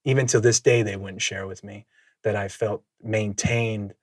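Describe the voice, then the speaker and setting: A man whose first language is Samoan, telling his story as a podcast guest in a reflective, measured way, often laughing. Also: on the low side, steady tone, dry recording, clean studio sound. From Minnesota, USA. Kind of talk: casual conversational storytelling.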